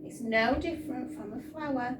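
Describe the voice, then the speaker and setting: A woman's voice: high voice, normal speed, natural voice. Woman as earnest chimpanzee hero Chutney, roomy sound. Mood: gentle, wise. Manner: earnest, rallying. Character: hopeful, rousing male chimpanzee hero, Chutney. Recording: roomy, distant mic, noisy.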